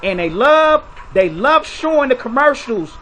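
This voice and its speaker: Man, opinionated commentary, rhetorical questions, soft music underneath. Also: very high-pitched, sing-song, unhurried, low-quality recording. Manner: preachy, blunt, lecturing with rhetorical questions. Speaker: commentary video host.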